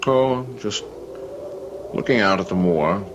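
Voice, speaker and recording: Uneasy, questioning writer husband: deep voice, lively inflection, old-time radio mystery. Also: male voice. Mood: puzzled, brooding. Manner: halting, questioning, puzzled. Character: uneasy, skeptical married man, a writer. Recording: dull, noisy old radio recording.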